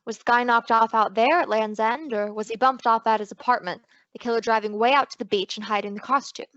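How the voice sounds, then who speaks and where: A woman's voice: a little high, fairly even intonation, a little fast, fairly bright tone, dry recording, muffled recording, natural voice. A woman playing a wisecracking female private detective who narrates her case in slangy old-time radio style. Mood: wry storytelling. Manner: wry, slangy hard-boiled storytelling.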